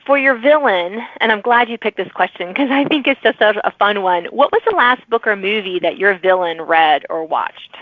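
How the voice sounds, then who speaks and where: A woman's voice: mid-range voice, expressive, moderate pace, hardly any pauses, dry recording, phone-quality sound. A woman hosting a conversational podcast interview with authors. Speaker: podcast host.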